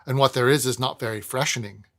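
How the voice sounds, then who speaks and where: A man voicing an uneasy young male lodger with a put-on accent and a gruff, blunt manner. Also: mid-range voice, quick pace, lightly acted.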